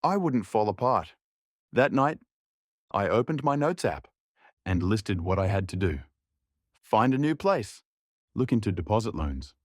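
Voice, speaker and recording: A man's voice: fairly low pitch, big pitch swings, a little slow, long pauses, dry recording, studio quality. A young man giving scripted audio drama narration as a subdued, reflective inner monologue.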